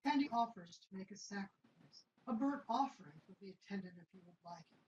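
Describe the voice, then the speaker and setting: A woman's voice: mid-range voice, expressive, brisk. A woman reading scripted narration for a play as its narrator. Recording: roomy, muffled, noisy recording.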